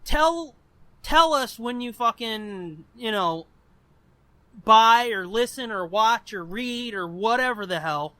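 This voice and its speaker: A man's voice: sits high, lively intonation, takes it slowly, pushed and bright, no room sound. A man co-hosting a podcast in casual, profane, rambling chat. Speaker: podcast co-host.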